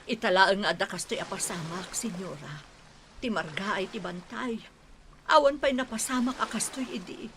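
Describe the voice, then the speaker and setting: A woman's voice: mid-range voice, moderate pace, noisy background, natural voice. A woman playing a grave woman reporting disaster in a radio drama. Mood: dismayed. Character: grave woman reporting storm damage to her mistress.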